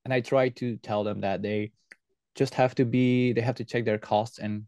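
Podcast guest: male, relaxed and fairly low voice, close dry sound, explains things earnestly. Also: moderate pace, no room sound. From Belgium. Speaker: podcast guest, airsoft team leader. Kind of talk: conversational interview answers.

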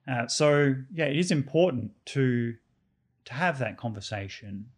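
A man co-hosting a casual discussion, earnest and argumentative, often restarting his words, his voice slightly croaky. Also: mid-range voice, expressive, a little slow. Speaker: podcast co-host.

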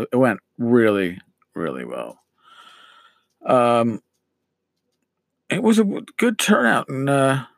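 A middle-aged man hosting a casual solo podcast, his voice scratchy as he loses it, rambling with many pauses and restarts. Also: mid-range voice, gravelly, a bit bright, no echo. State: losing his voice, scratchy throat. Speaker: podcast host.

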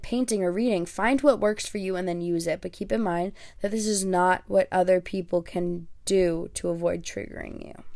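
A woman's voice: lowish voice, gravelly, dark tone, no echo, clean studio sound. A young woman whose first language is Greek, hosting her podcast in a chatty, self-deprecating way with lots of fillers. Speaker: podcast host. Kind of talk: casual solo podcast talk.

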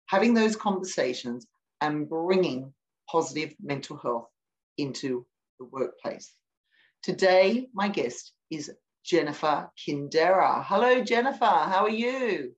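A woman's voice: deep voice, low-quality recording. A woman with an accent between English and Australian, hosting an interview podcast and giving an encouraging, attentive ear to her guest. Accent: mixed English and Australian. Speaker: podcast host. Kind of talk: hosted interview.

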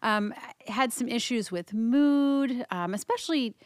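A woman's voice: fairly high pitch, measured pace, smooth, no room sound, clean studio sound. A woman therapist who answers interview questions in a friendly, thoughtful way and laughs often. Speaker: therapist guest.

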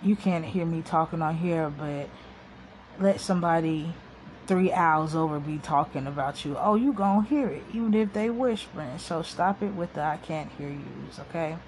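A woman hosting a casual solo podcast, talking into her phone with a soft voice. She rambles to her listeners in an informal, slangy way. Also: low-pitched, normal speed, a little hiss or hum, rough recording. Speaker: podcast host. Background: guitar music.

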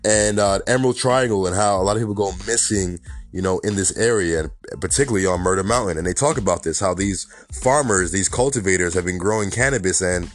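A man with a New York accent hosting a casual solo podcast, talking in a chatty way full of "you know" and "honestly," his voice raspy and hoarse from a slight cold. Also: sits low, fast talker, soft background music. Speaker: podcast host.

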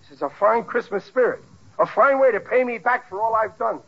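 A man's voice: extremely high pitch, fairly quick, creaky, exaggerated voice. An actor playing a stubborn, easily flustered working-class family man, in a 1940s radio sitcom. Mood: indignant. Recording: dull vintage radio recording.

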